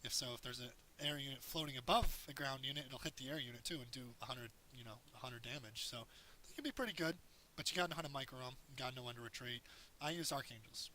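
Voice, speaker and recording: A man with a hoarse voice narrates his own strategy game match, walking through tips in a loose, rambling way. His tone is bright and forward, with a light hiss under the recording. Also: medium pitch, quick pace, low-quality recording. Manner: explains as he plays, loose and rambling. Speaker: gameplay commentator. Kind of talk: casual game tutorial commentary.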